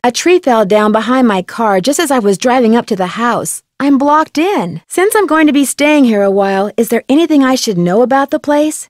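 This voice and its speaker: A woman playing a curious, polite young female detective in a video game, asking questions in a clear, inquisitive manner. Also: fairly high pitch, a little mellow, no echo, studio quality, lightly acted. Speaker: game protagonist. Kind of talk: scripted video game dialogue.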